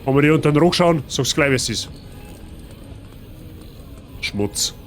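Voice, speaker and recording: A man's voice: fairly high pitch, animated, a little slow. Bavarian guy streaming a game, chatty n playful, voice jumps around a lot, music behind him. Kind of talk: casual live gaming commentary.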